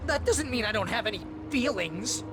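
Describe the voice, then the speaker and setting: An actor playing a needling imaginary best buddy in a game, chatty, sardonic and theatrical. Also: male voice, extremely high pitch, animated, normal speed, noisy background. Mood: sulky, mocking. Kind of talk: comic video game acting.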